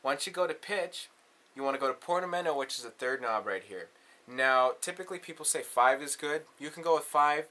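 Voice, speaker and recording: A man's voice: fairly high pitch, brisk, pushed and bright. A man presenting a casual step-by-step synthesizer tutorial in an instructional way, often checking in with the listener.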